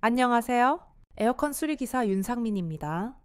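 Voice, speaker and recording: A woman's voice: on the high side, lively intonation, normal speed, darkish tone, smooth, no room sound, voice pushed up, natural voice. A woman plays a repairman in his late fifties in an audio drama. He is polite and plain-spoken, a courteous tradesman. The recording is dry and close. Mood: polite, calm.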